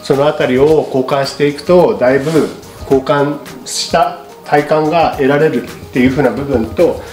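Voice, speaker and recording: A man's voice: fairly high pitch, steady tone, moderate pace, darkish tone. A middle-aged man politely explaining a product to camera in a casual, unscripted way, as a shop presenter. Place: small indoor studio with paper backdrop. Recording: roomy echo, music behind.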